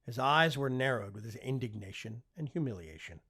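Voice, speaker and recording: A man's voice: mid-range voice, lively intonation, a little fast, bright, forceful tone, husky. A man narrating fiction as an audiobook reader, in a dramatic, emphatic scripted style.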